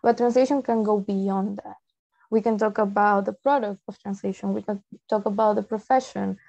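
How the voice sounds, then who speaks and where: A woman's voice: medium pitch, steady tone, normal speed, vocal fry, warm tone. A young adult woman from Peru, a native Spanish speaker with a Peruvian Spanish accent, giving an informal, explanatory online presentation. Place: indoor room, on a video call. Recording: dull, lo-fi video call audio.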